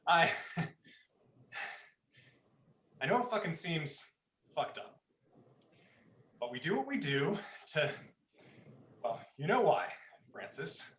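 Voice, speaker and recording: A volatile young bully played by a man in a livestreamed horror play reading. His voice is sharp and swings widely as he taunts and threatens, with a roomy echo around it. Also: fairly high pitch, big pitch swings, slow pace, long pauses, slight background noise, exaggerated voice. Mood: low menace. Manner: taunting, menacing, swearing. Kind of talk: dramatized live script reading.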